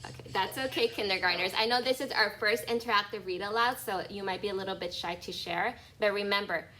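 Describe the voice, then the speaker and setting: A woman kindergarten teacher reading a picture book aloud to young children, expressive and instructive, speaking directly to her listeners on an online class call. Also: mid-range voice, fairly quick, a little hiss or hum, low-quality recording.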